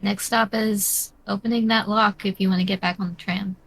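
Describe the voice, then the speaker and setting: A woman's voice: medium pitch, fairly even intonation, moderate pace, creaky, fairly bright tone. A woman running a tabletop role-playing game as game master, explaining rules and describing scenes in a matter-of-fact way.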